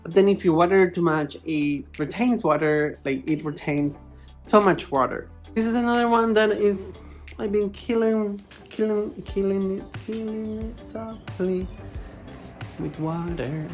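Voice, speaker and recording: A woman's voice: mid-range voice, a little slow, darkish tone, faint music behind. A woman hosting a plant vlog, chatting casually to camera in a playfully dramatic, exclamatory way. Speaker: plant vlogger, host.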